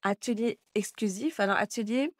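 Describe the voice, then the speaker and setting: A woman, a guest chatting in an interview in a lively way, with many hesitations. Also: mid-range voice, normal speed, no echo, studio quality. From Belgium. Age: 20s. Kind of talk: casual interview chat.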